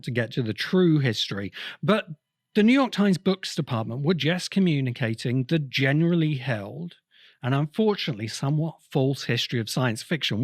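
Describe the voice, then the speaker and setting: Man giving a deliberate podcast talk, dry sound. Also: medium pitch, dry recording. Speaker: podcast host. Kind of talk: explanatory podcast monologue, essay-like commentary.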